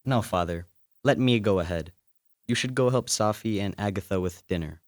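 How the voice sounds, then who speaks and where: A gallant young fellow , voiced by a man, in a radio play. His voice sits low. He speaks with courtesy and earnest conviction. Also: moderate pace, no echo, studio quality, close to an everyday voice. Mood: gentle.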